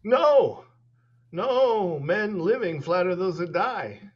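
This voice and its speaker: A dying, prophetic old duke who pleads and scolds in slow, declaiming verse. His voice sits fairly high for a man and moves at a very measured pace. The sound is muffled, as over a video call. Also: very animated, heavily acted. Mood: bitter. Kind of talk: Shakespeare staged reading. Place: home room.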